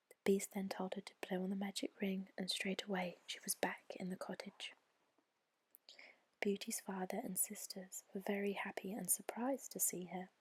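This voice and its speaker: A woman whispering a bedtime story in a soft, breathy, unhurried and soothing ASMR style. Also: mid-range voice, no room sound. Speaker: storyteller, ASMR channel host.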